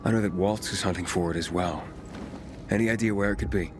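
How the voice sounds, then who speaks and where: An actor playing a guarded, inquisitive wanderer, the hero of a video game, speaking in brief probing lines. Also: male voice, low voice, fast, background noise, natural voice. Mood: intrigued. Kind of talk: video game cutscene acting.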